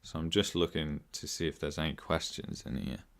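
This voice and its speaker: Host, male, deep voice, hoarse throat, loose rambling live-stream delivery. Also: fairly even intonation, brisk, fairly bright tone. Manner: rambling, loses his train of thought, chuckles often. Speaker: finance channel host.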